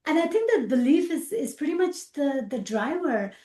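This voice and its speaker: A middle-aged woman with a Pakistani accent, an interview guest talking about her work with animated repetitions and fillers. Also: high-pitched, moderate pace, echoey room. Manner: animated, repeats words, frequent fillers, laughs easily. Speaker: rocket scientist guest. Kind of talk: casual interview chat.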